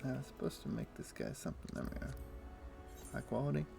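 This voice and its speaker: Gravelly-voiced man chatting while gaming. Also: medium pitch, fast. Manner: relaxed, rambling, thinks aloud with fillers. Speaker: game streamer. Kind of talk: casual gameplay chat. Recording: faint music in the background. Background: soft game music.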